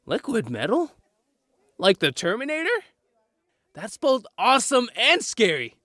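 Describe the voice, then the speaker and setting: A man's voice: sits high, wildly swooping pitch, measured pace, sharp tone, no room sound, studio quality, full character voice. A man playing a brash, impatient teenage boy who leads his group in an audio drama, blunt and incredulous.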